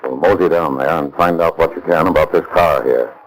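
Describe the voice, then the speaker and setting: A man's voice: very deep voice, steady tone, brisk, faint music behind, poor audio. A male radio actor playing an easygoing, businesslike police detective with colloquial speech on an old 1930s recording. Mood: casual, brisk.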